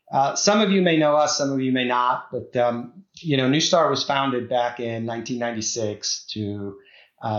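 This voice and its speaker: A man's voice: medium pitch, fairly bright tone, echoey room, lo-fi sound. A middle-aged man from the Czech Republic, a guest executive talking in a podcast interview, hesitant, with many fillers and restarts.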